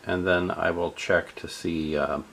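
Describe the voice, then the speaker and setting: A man narrating a step-by-step radio repair in a halting way, with many fillers. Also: low voice, steady tone, vocal fry, fairly bright tone, slightly rough.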